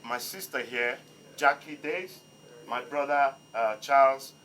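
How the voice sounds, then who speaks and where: A man's voice: medium pitch, takes it slowly, a bit bright, slight background noise. A man who is not an English speaker, giving a hesitant, apologetic speech as curator at a museum exhibition opening. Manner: hesitant, apologetic, with many ums. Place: museum hall.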